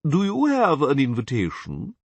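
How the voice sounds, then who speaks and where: A man's voice: a little high, wildly swooping pitch, moderate pace, husky, dry recording, pitched above the performer's own voice, exaggerated voice. A man voicing a portly, haughty court chamberlain who speaks emphatically. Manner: pompous, emphatic. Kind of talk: audiobook character voice.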